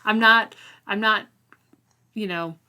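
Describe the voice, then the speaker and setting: A woman's voice: mid-range voice, steady tone, slow pace, sharp tone, clear, resonant tone. A woman co-hosting a casual book discussion, informal and somewhat hesitant as she thinks aloud. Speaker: podcast co-host.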